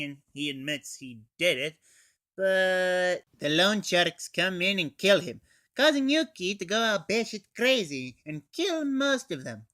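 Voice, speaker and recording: Teen guy doing a comic review, super lively pitch, casual and sweary, dry sound. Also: dry recording. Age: teens. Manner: informal, sweary. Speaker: anime reviewer. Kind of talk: scripted comic review, recapping a plot.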